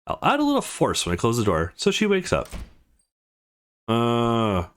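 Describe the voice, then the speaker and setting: A man hosts a let's play, reading a visual novel aloud in an informal way. His mid-pitched voice is highly animated, rising and dropping a great deal as he narrates. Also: fast talker. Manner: expressive, informal.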